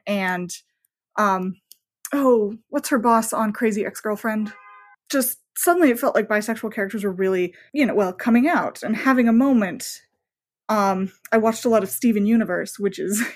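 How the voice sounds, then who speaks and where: A woman talking casually as a podcast guest, informal and effusive, with emphatic asides. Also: mid-range voice. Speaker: podcast guest, romance novelist. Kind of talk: casual, chatty podcast interview.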